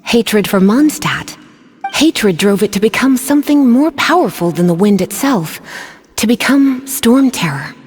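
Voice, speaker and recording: A woman's voice: mid-range voice, lively intonation, unhurried, a little mellow, slightly rough. An actress voicing a languid, teasing, learned woman mage in a video game, with a knowing, theatrical delivery. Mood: grave, knowing. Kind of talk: scripted video game voice acting. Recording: game music behind the voice.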